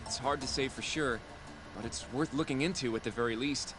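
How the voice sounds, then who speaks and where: Casting: man playing a reasoning game-drama detective, medium register, explanatory and measured, over music. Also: medium pitch, lightly acted, low-quality recording. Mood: considered. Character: thoughtful, reasoning male investigator. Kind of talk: video game dialogue acting.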